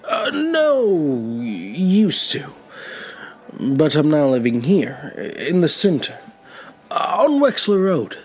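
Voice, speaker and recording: An actor playing a lonely elderly man who was once homeless, with a hesitant, gentle, wry way of talking in an audio drama. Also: fairly high pitch, huge pitch swings, slow pace, full character voice. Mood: polite, welcoming. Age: older. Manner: hesitant, reflective. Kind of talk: naturalistic audio drama dialogue.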